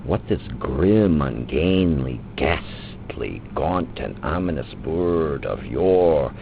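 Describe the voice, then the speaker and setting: Very deep, gravelly man reading gothic poetry. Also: lively intonation. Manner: slow, theatrical, drawn-out delivery with long pauses. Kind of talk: dramatic reading of a gothic narrative poem. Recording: muffled lo-fi recording with loud background noise.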